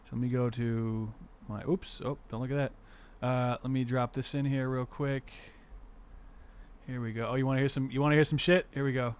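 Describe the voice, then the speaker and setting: A man's voice: medium pitch, steady tone, normal speed, clear, resonant tone. A young man with a congested, sniffly voice, chatting casually on a livestream, swearing freely and muttering to himself as he works on a music remix. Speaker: streamer making a music remix.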